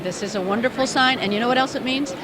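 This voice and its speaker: An older woman talks up her region's tourism with cheerful enthusiasm and a loud voice, pitched slightly high. She is interviewed at a busy expo, with crowd chatter heard all around. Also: fast talker. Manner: upbeat, enthusiastic, self-described loud voice. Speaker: interview guest, tourism promoter. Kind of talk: casual interview. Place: busy indoor business expo.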